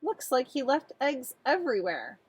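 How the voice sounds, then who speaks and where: A woman's voice: sits high, sing-song, moderate pace, slightly rough, voice pushed up, heavily acted. A woman voicing a cheeky, eager boy, the contrary brother in a storybook. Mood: cheerful, contrary.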